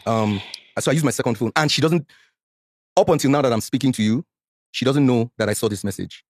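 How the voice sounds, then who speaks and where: A Nigerian man in his forties telling a painful personal story as a podcast guest, animated and slipping into Pidgin when worked up. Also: a little fast, no room sound, clean studio sound. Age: 40s. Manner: animated, mixes Pidgin, gets loud and high when worked up.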